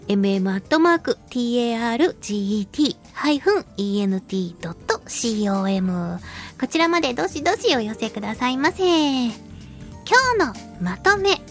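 A woman hosting a casual solo radio talk show, reading listener mail in a playful, giggly, cutesy way with a husky, breathy voice. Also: on the high side. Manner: playful, giggly, slips into cutesy exclamations and quirky old-man phrasing. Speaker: radio show host, voice actress.

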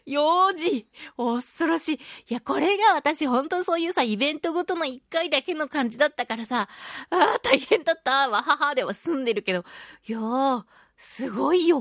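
High-pitched, animated woman radio host. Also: fairly quick, no room sound, clean studio sound. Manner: animated, acts out quoted remarks. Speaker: radio show host, voice actress. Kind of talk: casual radio talk, storytelling.

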